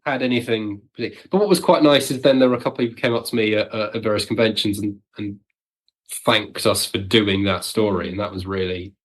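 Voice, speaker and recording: A man with a fairly low voice speaks as a writer being interviewed. He chats casually and wryly, slipping into little acted-out reactions as he explains things. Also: fairly quick. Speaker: audio drama writer, podcast guest.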